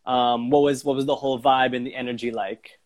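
A man with a mid-range voice hosts a casual live-stream interview. He is encouraging and informal, feeling his way through his sentences in a halting way. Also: medium pitch, fairly even intonation. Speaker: interview host.

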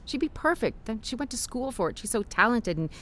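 A woman's voice: fairly high pitch, very animated, fairly quick, slight background noise. An actress in an audio drama playing an earnest, self-doubting, plain-spoken woman in a naturalistic way. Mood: protesting.

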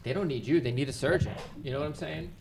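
A man's voice: fairly high pitch, quick pace. A man, an EMS worker, talking bluntly in a casual conversation and often saying "you know". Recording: clear background noise.

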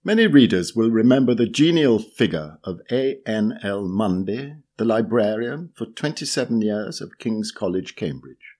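A man reading a literary magazine essay aloud, measured and precise, lifting key words for emphasis. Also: lowish voice, lively intonation, a little mellow. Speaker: reader of a magazine article. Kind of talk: read-aloud essay narration.

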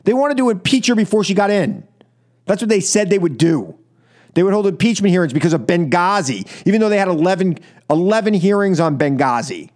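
High-pitched, animated American male radio host. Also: a little fast. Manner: emphatic, exasperated, rhetorical questioning with repeated phrases. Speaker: radio talk show host. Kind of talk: political opinion monologue and caller talk on radio.

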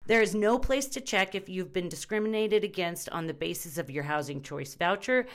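A woman reading a news article aloud as scripted narration, in a measured, reporting manner. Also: lowish voice.

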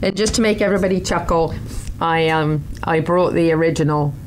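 A woman's voice: deep voice, brisk, darkish tone, slight background noise, poor audio. A woman hosting a casual studio chat, chatty and laughing often. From Britain. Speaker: host.

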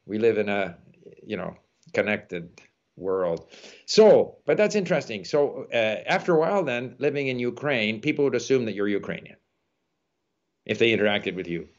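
A man's voice: fairly low pitch, sing-song, normal speed. A man interviewing a guest in a casual conversation, talkative and musing, often saying "you know". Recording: dull lo-fi sound.

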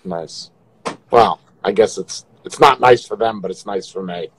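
A man's voice: lowish voice, unhurried, slight background noise, poor audio. A man hosting a casual livestream, teasing and joking crudely with viewers on video calls. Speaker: livestream host.

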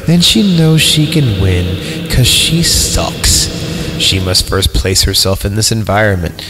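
Slow, low, gravelly man in heavy reverb. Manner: irreverent, halting, stumbling over the text. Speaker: podcast host and announcer. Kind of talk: reading creepypasta horror stories aloud with crude off-the-cuff asides. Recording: long reverb on voice, music underneath. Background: eerie horror film score.

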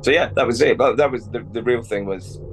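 A man with a cold and a gravelly voice, chatting casually as a guest about his work, relaxed and rambling, often correcting himself. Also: mid-range voice, quick pace, a little hiss or hum, poor audio. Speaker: toy company creative director, guest. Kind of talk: casual interview chat.

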